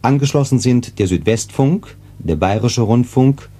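A man making a formal, scripted station announcement in 1950s radio style, measured and orderly. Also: medium pitch, animated, mellow.

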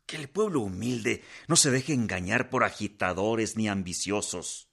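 An actor playing a stern president, delivering a firm, measured, formal political address in a radio drama. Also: male voice, fairly low pitch, expressive, gravelly, fairly bright tone, husky, exaggerated voice.

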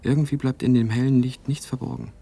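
An actor playing a lonely, brooding writer voicing a letter in a radio play, intimate and reflective, with a husky voice. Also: male voice, medium pitch, little pitch movement, quick pace. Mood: wistful, reflective. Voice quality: husky, gravelly, mellow.

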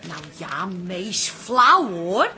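A woman's voice: fairly low pitch, big pitch swings, extremely slow, pushed and bright, soft background music, full character voice. A woman playing a bossy, mocking Dutch pub landlady in a radio play, with a blunt, scolding, colloquial way of talking. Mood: teasing. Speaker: actor in a radio comedy drama.

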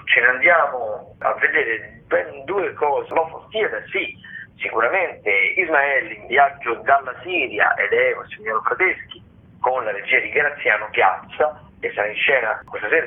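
A man speaking as a theatre critic over a telephone, giving a casual, somewhat halting rundown of listings. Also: medium pitch. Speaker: theatre critic, regular contributor. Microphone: phone. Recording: narrow-band telephone line.

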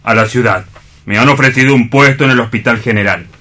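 Man acting a fussy, bright-voiced, morbid assistant. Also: mid-range voice, fairly quick, close to an everyday voice. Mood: prim, indignant. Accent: Spain. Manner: indignant, formal. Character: fussy, prim, morbidly gloomy male clinic assistant. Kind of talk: radio play reading.

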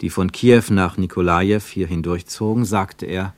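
A man's voice: sits low, steady tone, moderate pace, no room sound. A man narrating a literary radio drama from a script.

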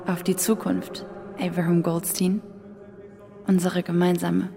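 A woman's voice: lowish voice, level tone, measured pace, gravelly, warm tone. An eager, impatient young woman in love in a radio play. Her voice rings in a long church echo over faint noise. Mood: playful, excited. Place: set in a reverberant church.

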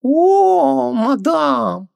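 Shopkeeper swindler: oily, wheedling, mock-offended, highly animated delivery, very dry storybook recording. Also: male voice, extremely slow, creaky, no room sound, heavily acted. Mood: theatrical, coaxing. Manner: oily, ingratiating, theatrically indignant. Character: sugary, wheedling male antique shop swindler.

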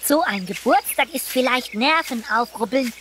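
High, excitable little mouse boy played by a woman. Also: moderate pace, background noise, natural voice. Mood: excited. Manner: playful, stammers when flustered, invents cute compound words. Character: scatterbrained, excitable young cartoon mouse boy, kindly. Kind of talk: children's audio drama acting.

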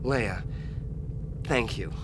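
A man's voice: fairly low pitch, extremely slow, noisy background. An actor playing an eager, earnest young farm-boy pilot in a radio drama, boyish in manner.

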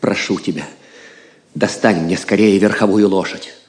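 An actor playing a wounded, earnest and uneasy young knight in an audio drama. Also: male voice, low voice, steady tone, moderate pace, lightly acted. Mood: uneasy, pleading. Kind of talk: theatrical audio drama acting. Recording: echoey, reverberant room.

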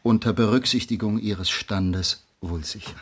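A man acting an ambitious, officious young magistrate in a German period radio drama, speaking formally and pedantically in a husky voice. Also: lowish voice, unhurried, background noise, natural voice. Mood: hesitant, official. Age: young adult. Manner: formal, pedantic, self-important.

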